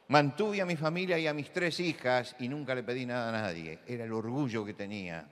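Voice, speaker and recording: A man from Buenos Aires with a Rioplatense accent, preaching a sermon full of anecdotes, who repeats key words and rises to loud insistence. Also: mid-range voice, long reverb tail. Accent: Rioplatense Spanish. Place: church hall.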